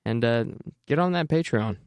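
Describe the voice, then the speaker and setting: A man co-hosts a casual podcast in a smooth, resonant voice, mid-pitched. He talks loosely with filler words. The sound is dry. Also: moderate pace, no echo. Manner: relaxed, with filler "uh"s. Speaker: podcast co-host. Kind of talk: casual chat.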